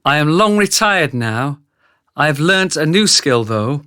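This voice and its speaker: Actor as a measured, older male narrator. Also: a little high, expressive, sharp tone, no echo, clean studio sound. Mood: wry. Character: retired male insider narrating a dark confession. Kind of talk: scripted radio drama narration.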